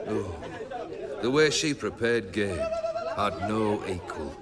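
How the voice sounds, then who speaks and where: Swaggering, expressive bandit lord. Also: male voice, lowish voice, takes it slowly, over a noisy crowd. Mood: wry, genial. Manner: theatrical, languid, wry. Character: jaded, cultured, swaggering bandit lord, adult man. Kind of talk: video game cutscene dialogue.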